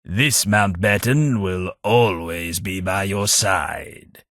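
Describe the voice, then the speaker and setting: An actor playing an earnest, loyal aristocratic male companion in a game, speaking in a formal manner with a husky voice. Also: sits low, takes it slowly, fairly bright tone, no room sound, clean studio sound. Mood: courteous. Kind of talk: game voice lines.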